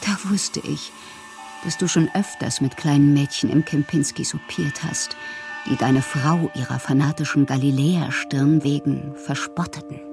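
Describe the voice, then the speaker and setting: An actress in a radio drama playing a lonely, whimsical bohemian woman writer, intimate and wistful, with a husky, breathy voice that often drops to a whisper. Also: sits low, unhurried, music in the background. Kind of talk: literary radio monologue.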